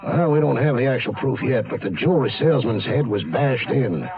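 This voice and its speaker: An actor playing a brisk, grim county sheriff in a radio drama. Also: male voice, mid-range voice, lively intonation, narrow, tinny audio, a crowd in the background, lightly acted. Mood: grave, matter-of-fact. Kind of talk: 1970s radio drama acting.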